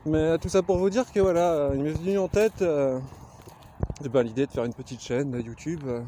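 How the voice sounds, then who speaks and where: A man's voice: fairly high pitch, a little fast, dark tone. A man in his thirties from Lorraine with a Lorraine accent, chatting casually to camera as a glassblower vlogger, rambling with many hesitations. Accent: Lorraine French. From Lorraine, France. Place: outdoors on a countryside walk. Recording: outdoor noise with passing vehicles.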